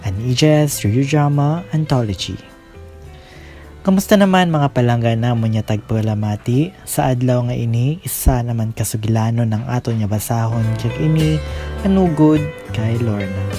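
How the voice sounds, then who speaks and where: A man's voice: mid-range voice, moderate pace, warm tone. A man with a Filipino accent reading scripted narration as the host of a radio drama. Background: faint music.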